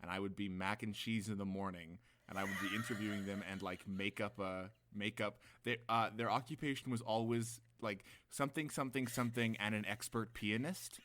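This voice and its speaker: A man's voice: fairly low pitch, fairly even intonation, brisk, few pauses, a bit bright, a little hiss or hum. A young man co-hosting a casual podcast with playful, teasing banter. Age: young adult. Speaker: podcast co-host.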